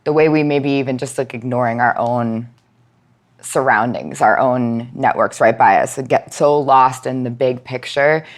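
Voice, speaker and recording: A woman speaking as a podcast guest, giving thoughtful conversational answers and pausing mid-thought as she reflects. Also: rumbling low. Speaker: podcast guest, nonprofit outreach and education manager.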